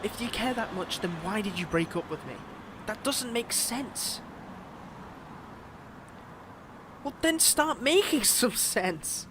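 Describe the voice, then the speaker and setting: A man's voice: high voice, animated, measured pace, noisy background. A man playing a smug, possessive fantasy villain in a roleplay audio drama, with a taunting, theatrical manner. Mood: wounded, coaxing.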